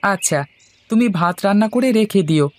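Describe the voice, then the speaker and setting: A man plays a young husband from a cartoon, a barber with a fairly high voice. He is good-natured and eager to please, talking in a playful, teasing way. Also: moderate pace, close to an everyday voice. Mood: affectionate, playful. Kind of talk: Bengali cartoon dialogue.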